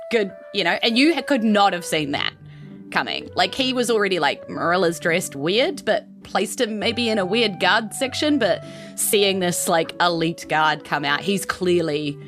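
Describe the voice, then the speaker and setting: A woman's voice: on the low side, fairly bright tone. A woman with a New Zealand accent improvising narration as game master of a tabletop roleplaying game.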